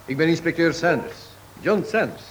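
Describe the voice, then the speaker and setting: An actor playing a cheeky, confident sleuth in a radio drama, with a jaunty, wry manner. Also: male voice, on the high side, lively intonation, normal speed, background noise, natural voice. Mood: smooth, probing. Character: cheeky, confident male sleuth posing as inspector.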